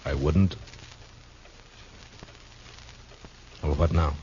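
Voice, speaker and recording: An actor playing a stern, grave, weary frontier marshal in a 1950s radio Western, terse and plain-spoken. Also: male voice, rumbling low, steady tone, moderate pace, dark tone, slight background noise. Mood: firm, sober. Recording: muffled old radio recording with music.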